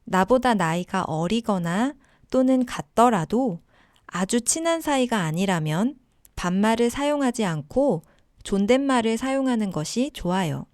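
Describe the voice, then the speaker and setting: A woman teaching a language lesson, giving model sentences and simple explanations in a deliberate way for learners. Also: fairly high pitch, expressive, a little mellow, dry recording, studio quality.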